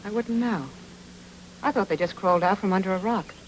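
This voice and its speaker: Casting: woman playing noir femme fatale, mid-pitched, cool and flirtatious, sly clipped radio-drama delivery. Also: a little fast, a little hiss or hum, lightly acted, poor audio. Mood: sly, mocking.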